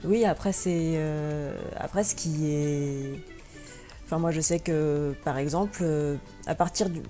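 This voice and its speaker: A woman's voice: sits low, a little slow, mellow, soft background music. A young woman from France answering interview questions as a guest, hesitant, with many fillers and restarts. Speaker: guest blogger and teacher.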